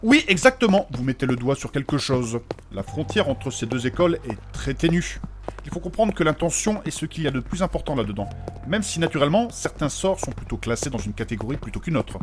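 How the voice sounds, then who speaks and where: A man playing a polite, bookish, excitable scholar of magic in a comic fantasy audio drama, formal and pedantic. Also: fairly high pitch, a little fast. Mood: professorial. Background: music.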